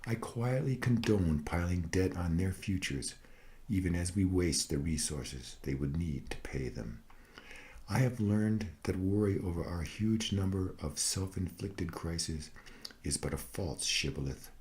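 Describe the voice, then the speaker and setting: Very deep-voiced man reciting solemnly. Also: lively intonation, measured pace, darkish tone. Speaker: reader of own satirical prose piece. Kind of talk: scripted dramatic recitation, mock-meditative monologue.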